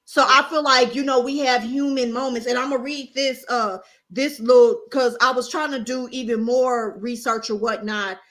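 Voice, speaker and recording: A woman co-hosting a casual chat show, animated and swearing freely, often restarting her sentences. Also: a little high, moderate pace, few pauses. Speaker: co-host.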